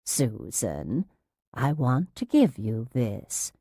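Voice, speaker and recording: A woman's voice: sits low, lively intonation, unhurried, dry recording, clean studio sound. A woman reading an audiobook as a severe nurse who talks in a syrupy, falsely soothing, patronising and overly reasonable manner. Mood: coaxing. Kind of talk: dramatised audiobook narration.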